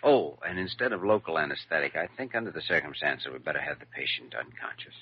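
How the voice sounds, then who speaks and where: A man's voice: very deep voice, animated, quick pace, slight background noise. An American man acting a dedicated, courteous young hospital doctor in an old-time radio drama. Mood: earnest. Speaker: lead actor in a radio drama. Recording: dull vintage radio recording with music.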